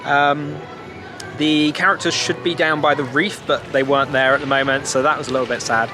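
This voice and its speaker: A man's voice: on the high side, little pitch movement, brisk. A young British man chatting casually to camera as a vlogger, outdoors on a camera held at arm's length. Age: young adult. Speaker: theme park vlogger. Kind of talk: casual vlog chat to camera. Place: outdoors in a theme park. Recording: outdoor vlog with music behind.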